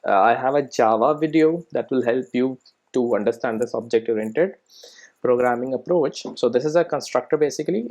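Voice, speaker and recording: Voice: mid-range voice, darkish tone. A presenter giving an informal programming tutorial, thinking aloud while working and often checking in with short rhetorical questions.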